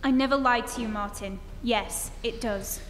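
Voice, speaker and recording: Lady doing a concerned gentle woman in an old radio horror play, high earnest voice, echoey theatre hall. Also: moderate pace, close to an everyday voice. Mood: hurt, reassuring. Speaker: amateur stage actor. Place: live stage performance in a reverberant hall. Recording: noisy live theatre hall, long reverb.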